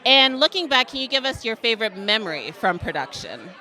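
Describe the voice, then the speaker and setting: Female interviewer, very bright medium-pitched voice, encouraging, slight crowd murmur behind. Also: sing-song, brisk, poor audio. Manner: encouraging, affirming. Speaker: interviewer, host. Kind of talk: interview hosting. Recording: light crowd chatter behind the voice.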